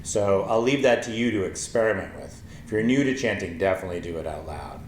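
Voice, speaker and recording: A man in his forties with a naturally low voice guides a yoga lesson, thinking aloud in slow, gentle phrases. He is recorded from a camera across a small, echoey room. Faint room noise sits behind him. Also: lively intonation. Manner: slow, gentle, thoughtful, with many ums. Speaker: yoga teacher. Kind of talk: calm spoken yoga lesson with chanting. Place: small wooden-floored room with paper screen, indoors.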